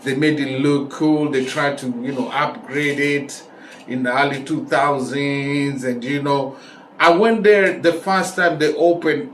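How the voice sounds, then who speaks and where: A man's voice: fairly high pitch, a bit bright. Kenyan man, roomy distant recording. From Kenya, raised in Nairobi. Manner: conversational, repeats phrases for emphasis. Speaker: vlog host. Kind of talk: casual talk to camera, storytelling. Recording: roomy echo, distant mic, faint noise.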